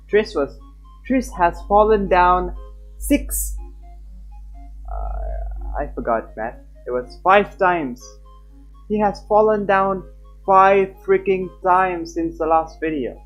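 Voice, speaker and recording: A streamer reading a visual novel aloud live and chatting casually with viewers. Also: male voice, high voice, faint music behind, low-quality recording. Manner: animated, chatty, quick laughs.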